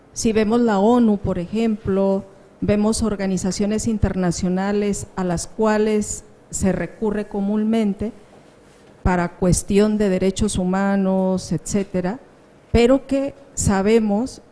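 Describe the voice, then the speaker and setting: Audience woman, very slow and measured, warm mellow tone. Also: medium pitch. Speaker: audience member asking a question. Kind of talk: question from the floor.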